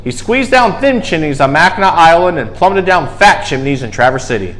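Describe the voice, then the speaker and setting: A man with a slightly high voice reads a children's story aloud. His delivery is very animated, swinging up and down in pitch with dramatic emphasis on key words. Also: fairly quick. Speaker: storyteller and zookeeper host.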